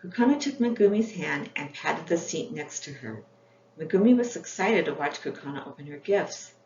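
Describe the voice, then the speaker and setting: A woman's voice: low voice, animated, normal speed, a little mellow. A woman reading scripted children's storybook narration as its author. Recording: echoey room, dull sound, faint noise.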